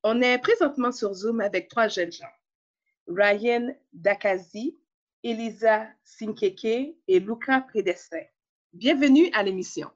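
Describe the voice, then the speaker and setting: A radio host leads the interview, asking reflective follow-up questions at a middle pitch. The sound is a little dull and lo-fi. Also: a little slow.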